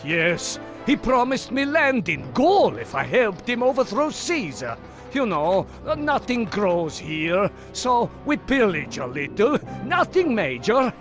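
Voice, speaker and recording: Very high, sheepish Viking raider. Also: male voice, very animated, slow, full character voice. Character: cowardly, sheepish Viking raider man, squeaky and easily cowed. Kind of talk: animated game cutscene acting. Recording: music behind the voice.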